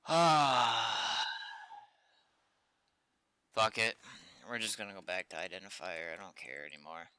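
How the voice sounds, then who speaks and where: Bright, clear man streaming casually. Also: lowish voice, big pitch swings, unhurried, pauses often, no room sound. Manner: blunt, freely swearing. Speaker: streamer, game server developer. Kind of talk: casual livestream commentary while coding. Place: indoors at a computer.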